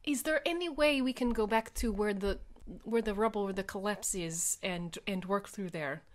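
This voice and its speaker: A woman's voice: mid-range voice, moderate pace. A woman player in a tabletop game, speaking in conversational in-character roleplay.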